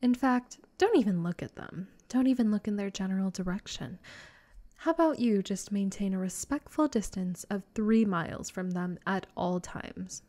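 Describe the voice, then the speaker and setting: A woman's voice: medium pitch, moderate pace, warm tone, close to an everyday voice. A woman playing a possessive, lovesick vampire in a comedic roleplay audio drama, sarcastic and theatrical. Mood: haughty, hostile. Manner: sarcastic and theatrical, exasperated sighs, breathy flirting.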